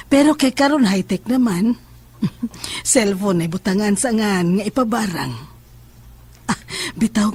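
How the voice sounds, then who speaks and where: A woman playing a menacing, self-assured sorceress in a horror audio drama, speaking in a deliberate, sinister way. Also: medium pitch, a little mellow, slightly rough, heavily acted. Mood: gloating. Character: menacing, self-assured female sorceress who casts curses. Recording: music under the voice.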